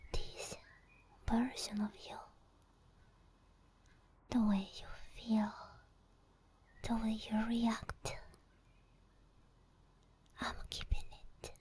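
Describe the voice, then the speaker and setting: Whispered ASMR, woman acting as obsessed female sleep paralysis demon, slow pace, possessive, seductive, intimate. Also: a little high, darkish tone. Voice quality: breathy whisper. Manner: slow, intimate, lingering delivery.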